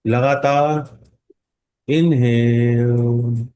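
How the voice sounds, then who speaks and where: A man leading a guided meditation in a calm, instructing manner, with long pauses and drawn-out words. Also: medium pitch, clear, resonant tone. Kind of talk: guided meditation in Hindi mixed with English phrases.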